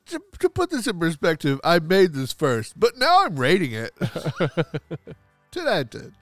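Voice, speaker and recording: A man's voice: fairly high pitch, wildly swooping pitch, unhurried, a bit bright, dry recording, soft background music. A man co-hosting a casual podcast, playful and joking, laughing a lot. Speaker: podcast co-host.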